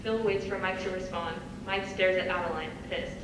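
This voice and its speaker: A woman's voice: on the low side, little pitch movement, normal speed. A woman reading stage directions as the narrator at a script table read. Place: large reverberant room, recorded from afar. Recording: very echoey hall, far mic, clear noise.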